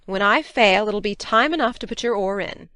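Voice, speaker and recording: A stern middle-aged spinster of the farm , acted by a woman, speaks with brisk authority and a very animated pitch. Her manner is crisp, practical and scolding, in an audiobook drama. The sound is dry and close. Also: dry recording. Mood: grudging, firm.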